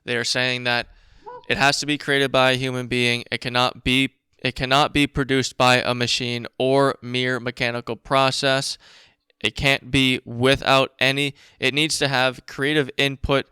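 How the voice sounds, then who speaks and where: A man hosting a casual commentary show, reading documents aloud and remarking on them in a blunt, informal, profane way, with a slight rasp. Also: mid-range voice, flat delivery, moderate pace, pushed and bright, no room sound, studio quality. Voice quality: raspy. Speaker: show host.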